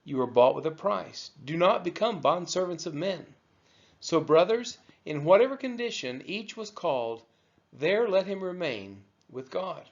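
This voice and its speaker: A man reading scripture aloud from a text, with measured, emphatic phrasing. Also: sits high, animated, muffled recording. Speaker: scripture reader.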